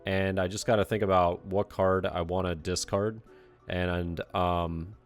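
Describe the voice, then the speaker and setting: A young man with a deep, low-pitched voice that sounds stuffed up and congested. He talks through a card game in a loose, relaxed way, full of "you know" and "uh," and sometimes points out his own mistakes. Soft music plays quietly underneath. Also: measured pace. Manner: relaxed, filler-heavy, self-critical play-by-play. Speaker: card game player and commentator. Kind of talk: casual commentary over a recorded match.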